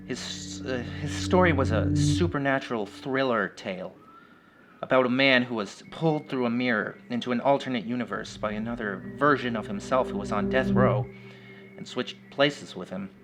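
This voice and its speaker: A man narrating a first-person horror story in a conversational, somewhat halting way. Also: medium pitch. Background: loud plucked guitar music.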